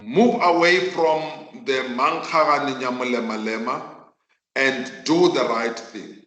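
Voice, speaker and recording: A South African man whose mother tongue is Northern Sotho, teaching a virtual class in an emphatic, deliberate way and repeating phrases for effect. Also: medium pitch, fairly bright tone. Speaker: accounting teacher. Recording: echoey room.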